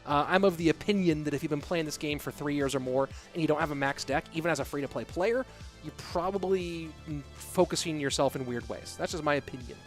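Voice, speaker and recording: Guy streaming esports, chatting w his viewers, kinda high voice, soft music going behind. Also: brisk. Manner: relaxed, rambling, answers viewer questions. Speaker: esports caster reading chat. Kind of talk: casual livestream chat.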